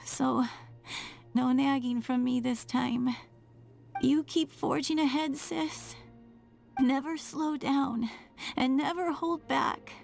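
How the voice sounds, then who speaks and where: High-pitched, frail, gentle younger sibling. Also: female voice, fairly even intonation, gravelly, faint music behind, heavily acted. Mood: tender, fading. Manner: slow, halting, earnest confession. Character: gentle, frail younger sibling of a game heroine, tender and self-blaming. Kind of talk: dramatic video game voice acting.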